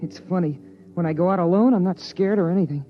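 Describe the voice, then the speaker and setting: A man's voice: high voice, quick pace, faint music behind, lightly acted. Boy narrator played by a man, anxious and eager, old radio play, dull lo-fi sound. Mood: hushed. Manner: earnest, breathless boyish delivery. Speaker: narrator and young son. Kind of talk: 1950s radio drama acting. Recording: muffled 1950s radio broadcast.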